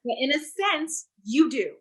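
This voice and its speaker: An actress playing an earnest, accusing activist who challenges a talk show host, in a staged play reading on a video call. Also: female voice, high voice, very animated, unhurried, fairly bright tone, full character voice. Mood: challenging. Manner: accusing, insistent.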